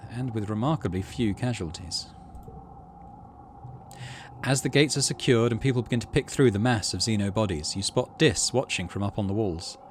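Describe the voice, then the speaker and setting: A man's voice: lowish voice, slight background noise. A man hosting a game playthrough, switching between casual commentary and reading story text aloud. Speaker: gaming channel host.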